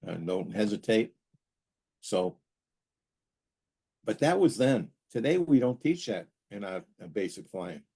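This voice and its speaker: A man talking casually as a podcast host, explaining technical matters in a halting way and repeating words for emphasis. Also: fairly low pitch, sing-song, dark tone, breathy edge. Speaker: aviation safety podcast host and pilot.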